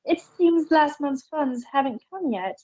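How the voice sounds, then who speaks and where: Woman as a slow, polite mare petitioner. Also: high-pitched, expressive, a little mellow, muffled recording. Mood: politely concerned. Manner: formal, halting, deferential. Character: polite, hesitant mare, library representative petitioning royalty. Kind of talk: amateur fan fiction dramatic reading.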